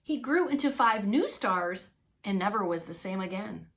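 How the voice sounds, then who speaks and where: A woman reading a story aloud to young children as a preschool teacher, expressive, with playful asides. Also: mid-range voice, moderate pace. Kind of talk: animated read-along children's storytelling.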